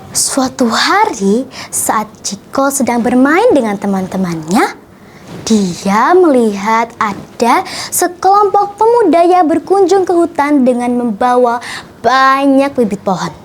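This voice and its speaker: A young female storyteller, reciting a children's tale in an expressive, deliberate, theatrical way. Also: high voice, slight background noise.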